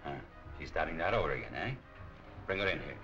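An actor playing a hard, middle-aged nightclub racketeer, curt and slangy, in 1940s crime film style. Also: male voice, a bass voice, lively intonation, fast talker, sharp tone, exaggerated voice. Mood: suspicious. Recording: dull 1940 film soundtrack, music behind.

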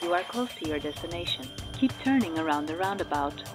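A woman voicing a flat, unhelpful GPS navigation device in a cartoon parody, robotic and repetitive. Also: lowish voice, brisk, music in the background, natural voice.

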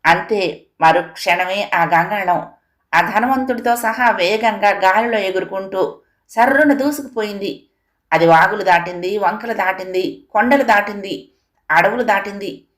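Medium-pitched woman storyteller. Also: measured pace. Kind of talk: scripted children's moral story narration.

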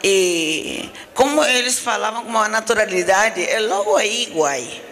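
A woman's voice: mid-range voice, big pitch swings, unhurried, fairly bright tone. A middle-aged woman from Paraguay, a guest singer, telling earnest stories and joking with the host on a handheld mic in a studio. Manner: earnest, storytelling, playful mimicry. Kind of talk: casual TV interview chat. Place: TV studio with ranch backdrop.

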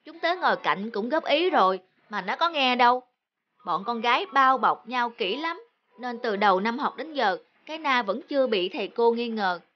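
A woman voicing an earnest schoolchild in an animated cartoon, speaking expressively. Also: fairly high pitch, moderate pace, muffled recording, lightly acted. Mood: grumbling. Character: earnest schoolchildren, classmates who tease and make up. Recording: music bed under the voice. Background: background music.

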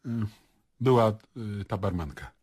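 Questioned theatre man, radio play, very raspy low voice, lively intonation, halting recollection. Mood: hesitant, cooperative. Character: male theatre actor answering an interrogator's questions. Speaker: actor. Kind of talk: naturalistic radio play dialogue.

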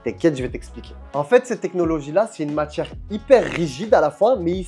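A young man reviewing football boots to camera in a room lined with shelves, talking in an energetic, chummy way and calling the viewer his soldier. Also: fairly high pitch, brisk, soft background music. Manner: energetic, chummy, addresses viewer as "mon soldat". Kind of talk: casual product review to camera.

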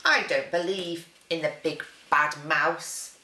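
A woman voicing a brave yet wary young monster girl from a storybook, with drawn-out, wondering questions. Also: deep voice, pushed and bright, heavily acted. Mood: doubtful. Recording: roomy living-room echo.

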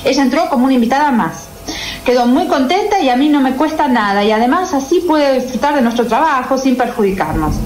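A woman playing an excitable young adult daughter in a radio soap, with an Argentine accent and an emphatic way of talking. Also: high-pitched, a little fast, soft background music. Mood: reassuring. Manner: gushing, emphatic. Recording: echoey, slightly noisy radio drama recording.

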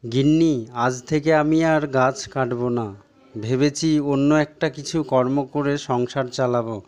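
A man's voice: medium pitch, fairly even intonation, normal speed, darkish tone, lightly acted. A man playing an earnest village woodcutter, a husband, in an amateur cartoon dub. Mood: thoughtful, resolved. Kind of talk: amateur Bengali cartoon dubbing. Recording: long reverb.

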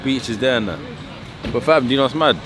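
Excited young man vlogging outdoors. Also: mid-range voice, quick pace, a crowd in the background. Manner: excited, slangy, laughs often. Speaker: motovlogger. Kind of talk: casual vlog talk to camera. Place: outdoors on a seafront street at night. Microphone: phone or camera at arm's length. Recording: street and traffic noise behind.

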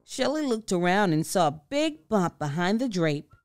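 A woman reading a children's book aloud with expressive, deliberate storytelling. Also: mid-range voice, clear, resonant tone, no room sound. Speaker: storybook reader. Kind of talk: children's read-aloud narration.

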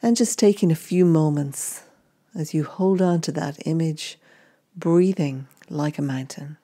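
Female meditation guide. Deep, soft-toned delivery, very measured, with gentle phrase-by-phrase instruction. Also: slightly rough.

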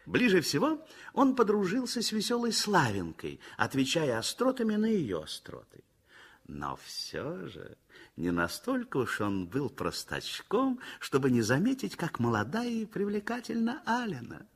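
An older man narrating a 1960s radio play in an expressive storytelling manner. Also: a little high, measured pace, breathy edge. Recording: old radio play with faint music.

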